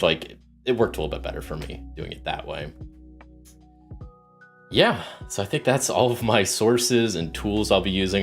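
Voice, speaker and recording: Man, very low voice, sore throat, rambling casual stream talk, lots of ums, faint background music. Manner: rambling, many ums and restarts, greets chat viewers. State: sick with sore throat, starting to lose his voice. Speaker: solo RPG streamer. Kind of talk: casual livestream chat explaining game rules.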